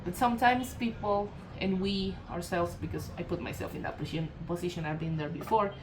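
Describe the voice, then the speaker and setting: A woman's voice: fairly low pitch, fairly quick, background noise. Colombian-accented woman giving a rambling tarot reading. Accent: Colombian Spanish. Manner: meandering, many fillers and self-corrections, soft laughs. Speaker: tarot reader. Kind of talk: casual spiritual channeled reading.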